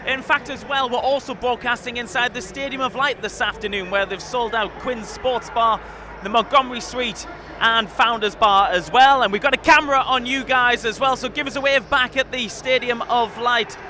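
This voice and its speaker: A man hosting a live football broadcast, lively and leading the talk with questions, speaking outdoors at a stadium. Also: extremely high pitch, normal speed. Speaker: show host. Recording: loud outdoor noise behind.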